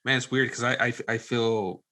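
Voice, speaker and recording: A man talking casually as a podcast guest, thoughtful and a little halting. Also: mid-range voice, steady tone, bright, forceful tone. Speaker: podcast guest, producer and director. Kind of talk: casual chat.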